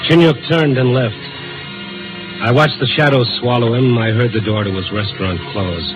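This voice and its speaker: A dulled 1950s radio recording with prominent music carries a man acting a wisecracking American night-beat reporter. His voice sits at a middle pitch, clipped and sardonic, moving between moody narration and flippant dialogue. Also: normal speed, dull audio, close to an everyday voice. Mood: brooding. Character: wisecracking hard-boiled male newspaper reporter on the night beat.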